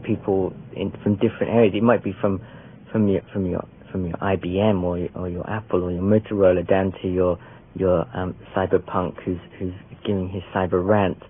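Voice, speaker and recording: A man's voice: sits low, little pitch movement, fairly quick, slightly rough. A man who edits a magazine and is an artist, answering interview questions hesitantly, with many restarts. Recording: tinny phone-like radio sound.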